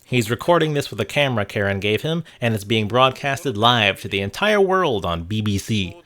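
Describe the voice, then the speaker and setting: A man's voice: fairly low pitch, big pitch swings, normal speed, bright, forceful tone. A man narrating a casual video game essay with wry, sarcastic commentary and casual swearing. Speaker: host of a video game review channel.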